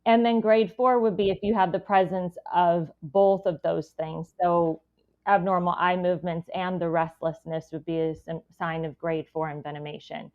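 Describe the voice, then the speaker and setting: Lower-voiced woman explaining, slightly dull audio. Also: fairly even intonation, normal speed. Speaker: doctor, guest expert. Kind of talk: clinical explanation.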